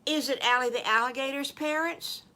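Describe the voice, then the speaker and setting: A woman's voice: high voice, moderate pace, bright, forceful tone, voice pushed up. An older woman voicing a friendly, curious young girl skunk in a children's story, earnest, childlike and pleading.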